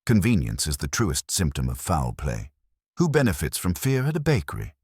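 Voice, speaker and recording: Man as a pompous, deep-voiced master detective. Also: wildly swooping pitch, moderate pace, darkish tone, husky, dry recording, clean studio sound, exaggerated voice. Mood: cool disdain. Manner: formal, aphoristic, commanding. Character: pompous, imperturbable genius male private detective. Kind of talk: detective audiobook dialogue.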